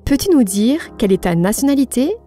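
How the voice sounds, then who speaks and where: A woman hosting a podcast, putting prepared interview questions in a polite, measured, formal way. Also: a little high, sing-song, dark tone, faint music behind.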